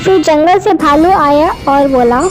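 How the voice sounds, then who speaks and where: A woman with a high voice narrating a cartoon moral tale for children. She tells it in a plain, steady way. Music plays under her narration. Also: a little slow. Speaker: storyteller. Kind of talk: scripted narration of a moral story. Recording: background music.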